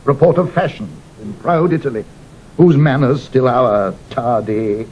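A man's voice: slightly rough, highs cut off, heavily acted. An actor playing an embittered, disapproving nobleman, with emphatic declamation in a Shakespearean audio drama. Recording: vintage 1960 recording with faint rumble.

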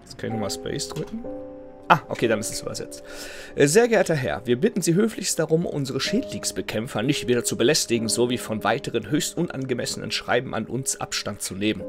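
A man's voice: mid-range voice, lively intonation, brisk. A nasal man with a cold, over game music. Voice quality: slightly nasal. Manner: chatty, reads in-game letters aloud. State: has a cold. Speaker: let's play host. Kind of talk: casual gameplay commentary. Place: home gaming room, indoors.

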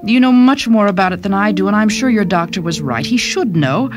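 A woman's voice: medium pitch, lively intonation, fairly quick, voice pushed up, natural voice. A woman voicing an anxious older mother in a short story, hesitant, fussing and apologetic. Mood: worried, hesitant. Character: anxious older mother writing to her daughter.

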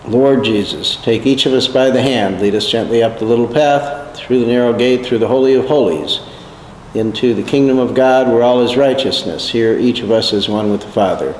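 A man leading a slow, prayerful guided meditation in a calm, measured way. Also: mid-range voice. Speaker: meditation leader. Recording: echoey hall, faint noise.

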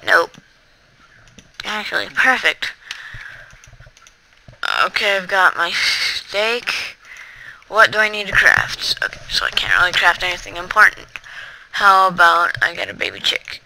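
A gamer giving rambling, chatty commentary over their own play, talking straight to viewers with sudden excitable outbursts. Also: medium pitch, unhurried, vocal fry, long pauses, slight background noise, rough recording. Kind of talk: casual gameplay commentary.

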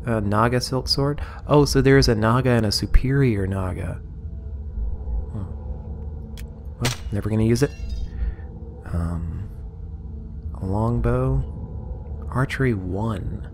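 A man casually commenting on his game as he plays, rambling and amused, a little hoarse. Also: fairly low pitch, takes it slowly, long pauses, darkish tone, a little hiss or hum. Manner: rambling, self-amused, sorting inventory aloud. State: slightly hoarse. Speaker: let's play host.

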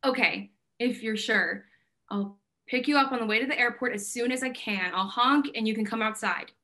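Young woman doing a smitten blunt gallery owner in a play, highish voice, casual and gushy. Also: moderate pace, sharp tone. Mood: warm, excited. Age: young adult. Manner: casual, gushing, candid. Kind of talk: theatrical staged reading. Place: indoor room at home, video call.

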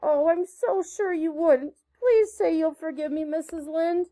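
A woman's voice: very high voice, unhurried, dark tone, voice pushed up. A woman voicing a dramatic, imaginative orphan girl who pleads effusively in a storybook reading. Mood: theatrical pleading.

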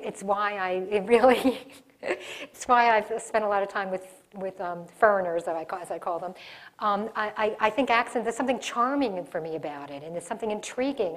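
Animated woman presenting a workshop. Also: medium pitch. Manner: animated, gestures a lot, restarts sentences. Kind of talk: live workshop talk. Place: conference room in front of a projection screen.

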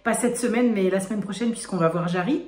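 A woman in her forties from France, hoarse today, chatting casually to a phone camera in her kitchen with many fillers and restarts. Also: medium pitch, fast talker, darkish tone. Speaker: family vlogger. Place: home kitchen, indoors. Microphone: phone camera at arm's length. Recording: echoey room with family noise.

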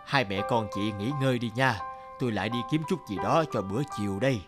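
A man voicing a gentle, caring porcupine neighbor in a cartoon, earnest and reassuring. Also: lowish voice, fast talker, natural voice. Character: kindly gentle adult male porcupine neighbor, caring and patient. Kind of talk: cartoon dubbing. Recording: loud music behind the voice.

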